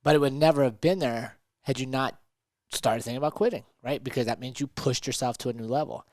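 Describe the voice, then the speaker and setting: A man's voice: medium pitch, a little fast, a little mellow, dry recording, studio quality. A man co-hosting a motivational podcast, talking emphatically and often checking in with rhetorical questions. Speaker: podcast co-host.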